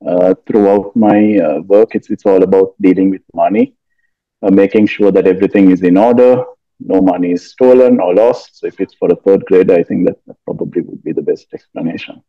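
A middle-aged man answering interview questions in a measured, hesitant way with many fillers. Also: sits low, muffled recording. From Sri Lanka. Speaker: guest, finance executive and author.

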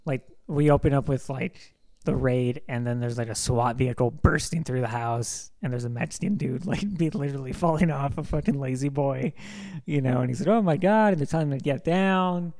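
A man's voice: mid-range voice, fairly quick, vocal fry, darkish tone. Enthusiastic rambling young man. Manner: enthusiastic, rambling, heavy use of "like". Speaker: podcast co-host. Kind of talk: casual film discussion.

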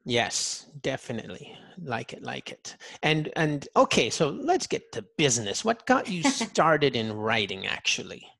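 A man's voice: mid-range voice, wildly swooping pitch, a little slow, creaky, few pauses, a bit bright, dry recording. A man interviewing someone in a casual, anecdotal way, with an enthusiastic, folksy and informal manner.

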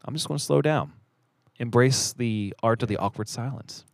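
A man's voice: mid-range voice, normal speed, gravelly, dry recording, studio quality. A man hosting a podcast in casual banter, rambling and self-deprecating, sometimes mumbling as his sentences trail off. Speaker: podcast host.

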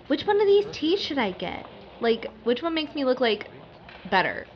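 A woman's voice: high-pitched, very animated, moderate pace. Needy snippy customer girl, whiny, easily offended, audio drama, dull noisy recording. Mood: needy, coy. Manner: whiny, entitled, heavy on "like". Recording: muffled, noisy hidden-bug recording in a shop.

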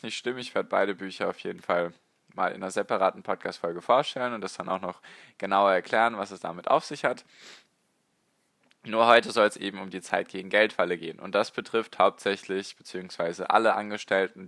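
A man with a lowish voice that still comes across bright and forward, hosting a solo podcast with a slightly strained, hoarse edge. He talks to the listener directly and rambles casually. The sound is dry and close, with no room around it. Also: dry recording. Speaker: podcast host.

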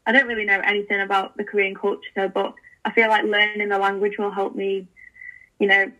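A chatty young woman guest on a podcast, talking eagerly with frequent fillers and restarts. Her voice is bright and forward, with a flat, even pitch. It comes through thin, like a phone call. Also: fairly quick. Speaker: podcast guest, language learning founder. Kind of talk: casual interview chat.

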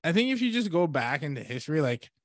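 A man hosting a podcast interview in a casual, rambling way, thinking out loud with many fillers. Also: on the high side, very animated, quick pace, no room sound. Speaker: podcast host.

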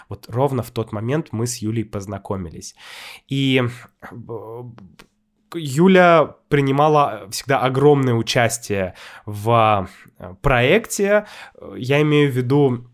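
Casting: young adult man, mid-pitch, reflective and unhurried, pausing to find his words. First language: Russian. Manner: thoughtful, hesitant, with many fillers and restarts. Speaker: Russian language teacher and YouTuber. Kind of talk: casual explanatory monologue.

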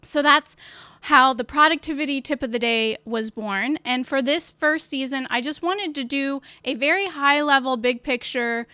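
A woman hosting her own podcast on a live stream, chatty and upbeat, with a loud voice and lots of fillers, talking into a studio mic at home. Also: sits high, moderate pace, no echo. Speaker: podcast host. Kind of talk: casual live-stream chat. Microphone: studio mic with portable recorder.

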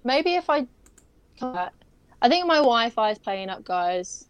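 A woman's voice: on the high side, big pitch swings, slow pace, smooth, dry recording. A young woman, a pageant stylist, chatting casually on a video call podcast, rambling with lots of fillers and restarts.

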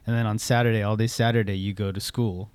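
A man's voice: fairly low pitch, flat delivery, quick pace, darkish tone, clear, resonant tone, dry recording. A man from Southern California, a guest musician giving loose, laid-back answers full of fillers in a casual interview, recorded indoors at a theater venue.

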